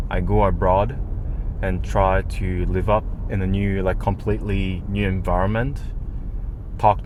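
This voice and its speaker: A man's voice: sits low, noisy background. A man whose first language is Japanese rambles casually to his vlog audience in slangy, non-native English. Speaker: vlogger.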